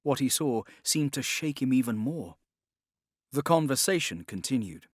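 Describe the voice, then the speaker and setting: A man reads dramatic audiobook narration at a medium pitch. His intonation is very varied, and the recording is close and dry. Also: very animated, normal speed, no room sound.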